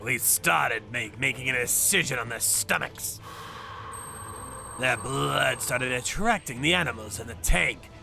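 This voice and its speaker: A man's voice: medium pitch, animated, unhurried, vocal fry, bright, forceful tone, heavily acted. A man voicing a ruthless underworld avenger in a manga dub, menacing and theatrical as he narrates. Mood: grim narration. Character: ruthless male underworld avenger narrating his torture jobs. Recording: background music under voice.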